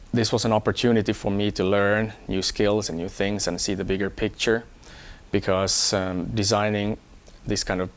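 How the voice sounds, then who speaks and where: A man from Hong Kong, an engineer, talking about himself in a measured, explanatory way for an employee profile. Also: on the low side. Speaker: electronics engineer. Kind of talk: first-person employee profile talk.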